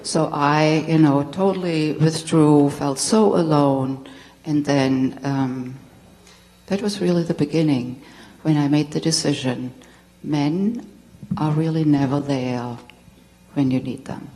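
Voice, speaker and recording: A woman's voice: low-pitched, fairly even intonation, slow, warm tone. A middle-aged German-accented woman telling her personal story to an audience as a tantra teacher, candid and laughing often. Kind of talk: personal talk to a live audience. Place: spiritual center hall. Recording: long hall reverb.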